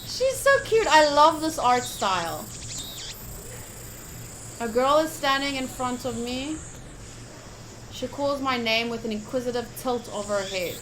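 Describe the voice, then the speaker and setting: A woman streaming a game playthrough, chatting casually and reading the text aloud in an expressive, enthusiastic way. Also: fairly high pitch, a little slow, long pauses, noisy background. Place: livestream setup.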